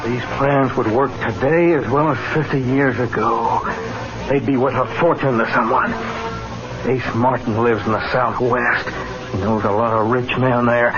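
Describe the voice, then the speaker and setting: An actor playing a greedy, scheming small-time Western crook in vintage radio drama, musing aloud to himself. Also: male voice, mid-range voice, fairly even intonation, a little slow, music in the background, close to an everyday voice. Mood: calculating. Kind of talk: 1940s-50s radio Western acting. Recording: dull vintage radio recording.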